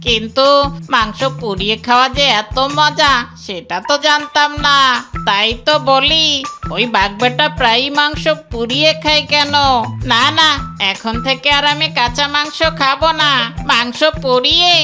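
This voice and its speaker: A performer voicing a sly, greedy male fox in a cartoon, gleeful and excitable as he talks to himself. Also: normal speed. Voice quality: high bright cartoon voice. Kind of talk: cartoon voice acting. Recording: music behind.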